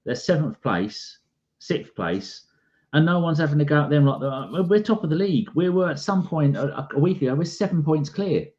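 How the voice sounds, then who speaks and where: A man's voice: a little high, moderate pace, highs cut off. A man from London co-hosting a football podcast, ranting and acting out conversations in casual chat. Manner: animated, ranting, acts out conversations. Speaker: podcast co-host.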